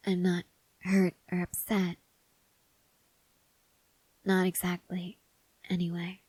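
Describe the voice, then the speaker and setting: A woman playing a stoic, devoted young woman soldier in an intimate ASMR roleplay, speaking softly and hesitantly, often half-whispering. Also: lowish voice, slightly rough, no room sound, poor audio. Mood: hushed, reluctant. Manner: hushed, hesitant, gently reserved.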